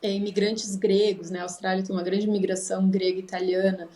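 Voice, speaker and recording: A woman's voice: medium pitch, level tone, fast talker, dark tone. A woman, a psychologist, explaining with frequent tag questions. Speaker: psychologist guest. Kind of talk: conversational video call discussion.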